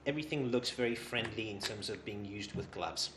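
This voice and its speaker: A man in his thirties with a fairly low voice co-hosts a product demonstration. He comments in a casual, conversational way. There is noticeable background noise behind his voice in the workshop showroom. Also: a little fast. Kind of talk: casual chat, reacting and commenting. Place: indoor tool workshop showroom.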